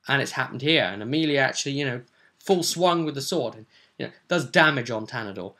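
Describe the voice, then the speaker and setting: Bright-voiced man recapping a game. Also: mid-range voice, expressive, brisk. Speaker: game master recapping a tabletop role-play session. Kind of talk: casual conversational recap.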